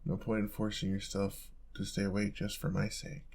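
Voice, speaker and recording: A young man playing an affectionate, playful roommate in an intimate audio roleplay, talking in a soft, casual, teasing way. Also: on the low side, completely flat delivery, normal speed, gravelly, slightly rough. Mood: gentle, caring.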